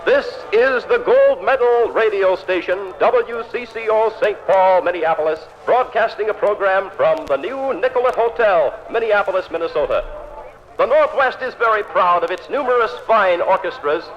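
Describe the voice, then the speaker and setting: A man's voice: high-pitched, expressive, normal speed. An American man playing a courtly 1920s radio announcer, giving a formal, ceremonious, carefully phrased introduction. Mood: formal, proud. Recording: dull, lo-fi vintage radio sound.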